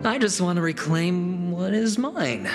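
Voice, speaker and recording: An actor playing a suave, confident young gambler and schemer in a video game, smooth-talking, teasing and insinuating. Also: male voice, high voice, expressive, unhurried. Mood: smooth bargaining. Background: game soundtrack music.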